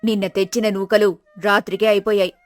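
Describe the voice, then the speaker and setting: A woman's voice: mid-range voice, level tone, brisk, faint music behind, close to an everyday voice. A woman voicing a shrewish poor village wife, scolding and complaining, in a folk tale. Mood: scolding, sarcastic.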